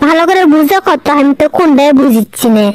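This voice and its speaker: A woman's voice: sits high, lively intonation, fast, low-quality recording. A woman voicing a blunt, skeptical young girl in a comic cartoon, scoffing and questioning in a northern Bangladeshi accent. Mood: baffled, scornful. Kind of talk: comic cartoon dialogue in rural dialect.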